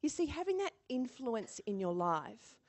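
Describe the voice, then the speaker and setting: A woman delivering a motivational keynote as an animated storyteller. Her voice sits a little high, and her pitch rises and falls in lively swings. She acts out quotes and laughs easily along the way. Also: unhurried. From born in Singapore. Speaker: keynote speaker.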